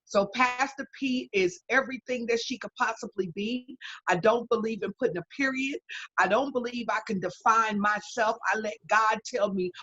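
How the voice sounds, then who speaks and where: A woman's voice: fairly high pitch, normal speed, fairly bright tone, slightly rough, no echo. Emphatic woman pastor on a dull line. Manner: preacherly and emphatic, with list-like repetition. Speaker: pastor and life coach. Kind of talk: guest self-introduction on an online talk show.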